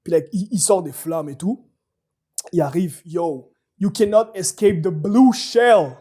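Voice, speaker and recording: Male casual talker, Quebec French, soft dark timbre on the higher side, excitable franglais slang. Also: measured pace, breathy edge. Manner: excitable, slangy, switches between French and English. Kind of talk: casual chat about movies.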